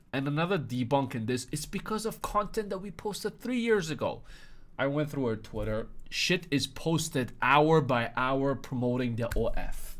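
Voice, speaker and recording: A young man gives comedic commentary, mocking his subject with sudden loud outbursts. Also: a little high. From Iran. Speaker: commentary host.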